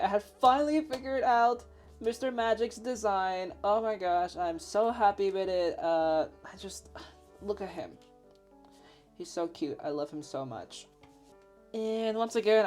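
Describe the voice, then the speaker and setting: A woman's voice: mid-range voice, measured pace, a little mellow. Giggly young woman with a Finnish accent. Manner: bubbly, giggly, rambling with fillers. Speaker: artist showing her sketchbook. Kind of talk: casual voice-over chat. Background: faint background music.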